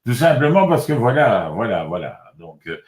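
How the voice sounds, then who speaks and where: A man's voice: medium pitch, very animated, normal speed, a little mellow. Older male author guest, chatty and circling, roomy recording. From Congo. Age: 60s. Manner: rambling, repeats words and restarts often. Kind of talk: casual spiritual conversation. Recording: roomy echo.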